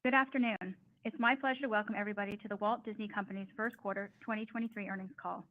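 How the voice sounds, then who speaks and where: A woman's voice: medium pitch, fairly even intonation, racing. A woman moderating a corporate earnings call, reading a formal scripted announcement over a narrow line. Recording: narrow, tinny conference call audio.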